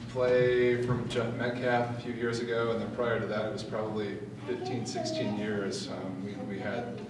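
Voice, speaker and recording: A man hosting a live event, giving a halting, informal introduction partly read from notes. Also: mid-range voice, little pitch movement. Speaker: host introducing a guest playwright. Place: large reverberant room at a live event. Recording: very echoey room, distant mic, noisy.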